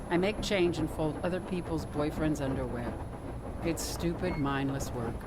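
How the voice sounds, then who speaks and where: A woman's voice: fairly low pitch, close to an everyday voice. An actress playing a down-on-her-luck, self-deprecating laundry worker in a comedic radio drama, with a wry manner. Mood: defensive. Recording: clear urban traffic noise behind voice.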